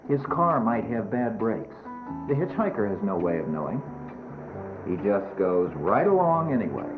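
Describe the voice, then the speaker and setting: A man's voice: lowish voice, sing-song, normal speed. A man narrating a scripted educational film, admonishing children directly over background music on a muffled 1970s soundtrack.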